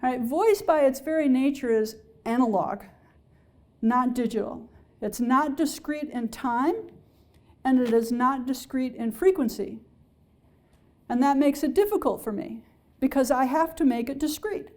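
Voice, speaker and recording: A woman lecturing on engineering to a public audience in a hall, her voice pitched high yet soft and mellow. She explains patiently, pausing often to ask whether her listeners follow. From Alaska-based. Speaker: engineering professor.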